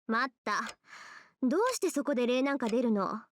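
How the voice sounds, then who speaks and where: A woman playing a sharp-tongued, composed teenage girl in a Japanese visual novel, brisk, scolding and haughty. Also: a little high, a little hiss or hum, close to an everyday voice. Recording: background music under game dialogue.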